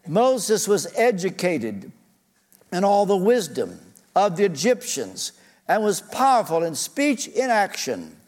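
Older male pastor, slightly high voice, earnest storytelling sermon, long church reverb. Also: darkish tone. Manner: earnest, personal, shifting pace with frequent uh fillers.

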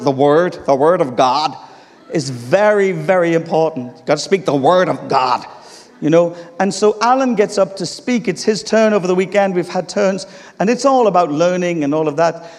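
A man with an English accent preaching a sermon, telling a funny story with laughs before turning to teaching. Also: high voice. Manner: humorous, animated storytelling with laughter. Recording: long reverb of a large hall.

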